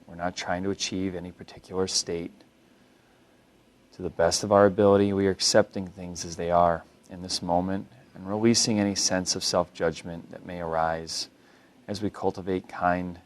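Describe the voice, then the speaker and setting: Deep-voiced man guiding meditation. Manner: calm, unhurried, gently instructive. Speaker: mindfulness meditation instructor. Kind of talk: guided body scan meditation.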